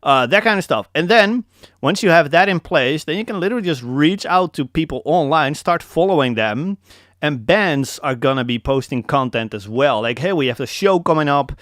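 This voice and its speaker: A man's voice: fairly high pitch, animated, moderate pace, fairly bright tone, dry recording, studio quality. A young man from the Netherlands with a Dutch accent, hosting a casual live coaching call and hammering home his points with repetition. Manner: emphatic, repeats key points, calls listeners man.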